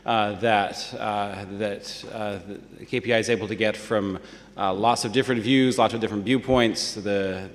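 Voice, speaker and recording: A man, a professor on a panel, giving polite opening remarks with many filler pauses. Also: fairly low pitch, long reverb tail. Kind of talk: conference talk. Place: large conference hall.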